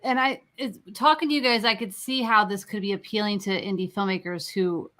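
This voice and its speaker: A woman with a bright, forward voice at a medium pitch hosts an interview. She talks conversationally and emphatically, leading into her questions with informal comments. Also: fairly even intonation, fairly quick. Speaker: interviewer, panel moderator.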